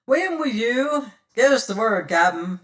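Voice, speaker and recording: A reader voicing frightened rough male pirates who clamor for orders. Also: medium pitch, big pitch swings, unhurried, fairly bright tone, distant mic, pitched above the performer's own voice. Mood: panicky. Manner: panicky, clamoring.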